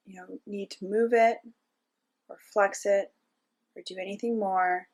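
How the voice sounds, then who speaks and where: Woman guiding a meditation. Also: medium pitch. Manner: gentle step-by-step instructing with frequent pauses. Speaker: meditation teacher. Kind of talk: guided meditation narration.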